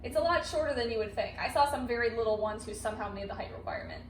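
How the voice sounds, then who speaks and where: Fast-talking young American woman, echoey recording. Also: fairly high pitch, expressive. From United States. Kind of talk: casual chat. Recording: echoey room, distant mic, noticeable noise.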